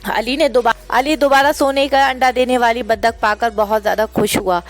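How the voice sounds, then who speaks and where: A woman's voice: a little high, fairly even intonation, moderate pace. A woman narrating a moral story for children as a scripted reading, hosting her own storytelling channel. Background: background music.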